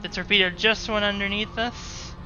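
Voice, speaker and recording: A woman's voice: mid-range voice, steady tone, moderate pace, bright, forceful tone. A woman giving live gameplay commentary, explaining each command and move as it happens. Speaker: gaming video host. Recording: muffled narrow band, music behind. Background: game music and engine sounds.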